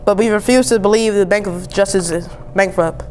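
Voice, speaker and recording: A woman's voice: mid-range voice, a little fast, darkish tone, a little hiss or hum. A young teenage girl, a student, reading a formal historic speech aloud from a text. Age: young teen, 7th grade student. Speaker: student reader.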